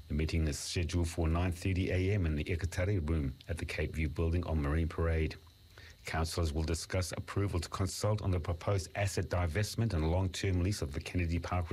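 A man reading a scripted local news bulletin in a measured, even way. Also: low voice, breathy edge. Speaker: news reader.

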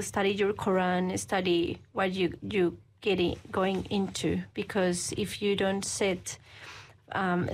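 A woman's voice: mid-range voice, poor audio. Earnest woman. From Colombia. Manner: earnest, halting English with frequent restarts. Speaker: guest, church women's ministry leader. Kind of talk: interview answers.

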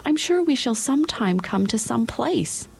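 A woman's voice: on the high side, big pitch swings, normal speed, creaky, a little mellow, slightly rough, voice pushed up, exaggerated voice. A woman voicing an earnest, hopeful young girl in a storybook. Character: young girl lost on a journey.

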